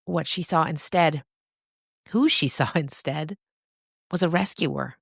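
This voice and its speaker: A woman narrating scripted fiction as a storyteller, with expressive, deliberate phrasing and dramatic pauses. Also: deep voice, vocal fry, slightly rough, dry recording, studio quality.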